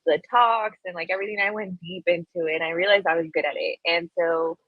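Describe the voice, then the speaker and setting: A woman from Colombia with a lowish voice tells her story in a relaxed, chatty way. She sounds animated and laughs easily, with plenty of fillers along the way. Her voice is dry and close, with no room sound around it. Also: quick pace, no room sound, phone-quality sound. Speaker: guest. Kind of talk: casual interview chat.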